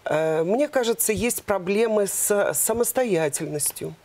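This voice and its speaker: A woman in her fifties, a university department head, explaining in a measured way with frequent hesitations in a TV studio interview on a lapel mic. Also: medium pitch, lively intonation, a little mellow.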